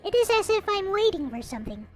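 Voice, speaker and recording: A woman with a high-pitched voice reads a story aloud in a playful, theatrical way. Her intonation swings widely, and she slips into casual asides. Soft music plays behind her. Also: sing-song, fast talker. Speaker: host reading a visual novel aloud. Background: background music.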